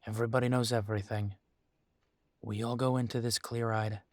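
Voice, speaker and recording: A man playing a protective, weary but determined werewolf pack leader in an intimate roleplay audio drama, speaking deliberately with pauses. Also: mid-range voice, flat delivery, dry recording, natural voice. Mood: firm, resolute.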